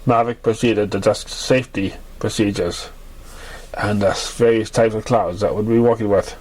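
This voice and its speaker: A man's voice: on the low side, fairly even intonation, normal speed, a little mellow, poor audio. A man reading a story aloud as an amateur narrator.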